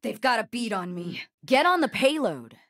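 An actress voicing a cocky, wry female bounty hunter sniper from a video game, with a swaggering, deliberate delivery. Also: on the high side, big pitch swings, fairly bright tone, no room sound, clean studio sound. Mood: urgent, shouting orders. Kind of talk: video game voice lines, short combat barks and quips. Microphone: close studio microphone, dry.

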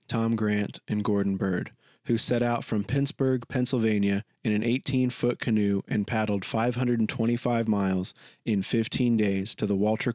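A man narrating a written account for a podcast in a measured, steady way. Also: fairly low pitch, dry recording. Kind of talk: scripted narration of a magazine article.

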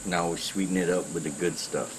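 A middle-aged man hosting a casual outdoor cooking demonstration, giving relaxed, informal instructions as a chef. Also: deep voice, a little fast, slight background noise, poor audio. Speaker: chef and cooking show host. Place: outdoor patio at night.

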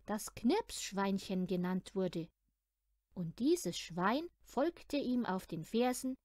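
A woman reads a children's story aloud in an expressive storytelling style. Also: medium pitch, no room sound, clean studio sound. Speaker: audiobook reader of children's stories.

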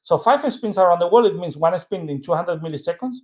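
Higher-voiced Spanish man presenting, muffled audio. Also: animated, darkish tone. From southern Spain. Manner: halting, with frequent checking tags. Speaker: presenter of a technical session. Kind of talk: informal technical presentation. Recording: dull, lo-fi webcam audio.

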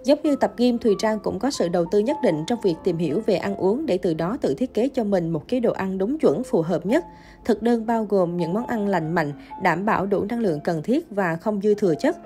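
A woman narrates a scripted news feature in a mellow, warm voice with a steady, even read. Soft music plays quietly behind her. Her pitch sits in a middle range for a woman. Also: normal speed.

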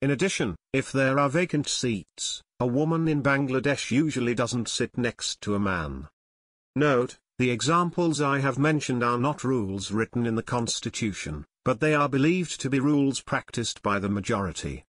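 A man's voice: mid-range voice, dry recording. Man reading an English lesson, dry close sound. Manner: slow, even reading of slide text. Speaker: English teacher. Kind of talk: scripted lesson narration.

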